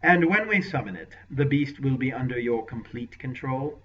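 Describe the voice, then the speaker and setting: A man's voice: medium pitch, expressive, normal speed, fairly bright tone, slight background noise, voice pushed up, natural voice. A man voicing an imperious villainous lord. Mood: imperious scheming. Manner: commanding, contemptuous. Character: ruthless scheming male warlord lord, venomous and imperious. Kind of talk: audiobook character voice. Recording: lo-fi dull recording.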